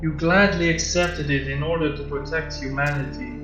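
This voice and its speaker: A man voicing a calm, fervent male robot zealot in a fan fiction reading, with solemn, measured delivery. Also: a little high, lightly acted. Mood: gentle, knowing. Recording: roomy muffled mic with music behind. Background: music.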